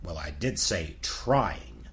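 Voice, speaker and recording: A man's voice: sits low, lively intonation, slow, heavy background noise. A man narrating a comic fairy tale in a tongue-in-cheek storybook style. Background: music.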